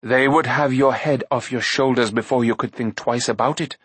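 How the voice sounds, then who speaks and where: A man's voice: medium pitch, steady tone, normal speed, fairly bright tone, husky, no room sound. A man voicing a stern, commanding warrior prince who speaks firmly and with impatience. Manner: firm, severe, impatient.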